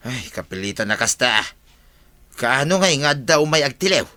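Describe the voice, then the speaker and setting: A man's voice: mid-range voice, very animated, moderate pace, bright, forceful tone. A man with an Ilocano accent playing a worried, grumbling village motorcycle owner in a comedy radio drama.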